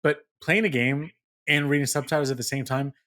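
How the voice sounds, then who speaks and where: A man hosting a casual gaming podcast, steering the talk with questions in an animated way. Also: fairly high pitch, fairly quick. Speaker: podcast host.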